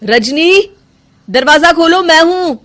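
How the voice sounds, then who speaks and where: A woman's voice: very high-pitched, expressive, slow pace, fairly bright tone, voice pushed up, full character voice. A woman voicing an earnest, insistent young husband in a moral-story cartoon. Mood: insistent, upset. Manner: insistent, questioning.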